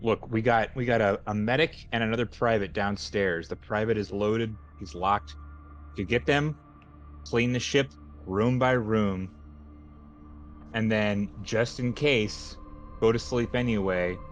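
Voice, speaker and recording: A man playing a tabletop roleplaying game, casually pitching plans to the group. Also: measured pace. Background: background music.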